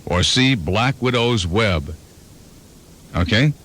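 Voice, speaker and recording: A man's voice: fairly low pitch, animated, takes it slowly, fairly bright tone. Male radio host, joking and storytelling, 1991 FM aircheck sound. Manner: storytelling, playful, slips into voices. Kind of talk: fast joking radio banter. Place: radio studio.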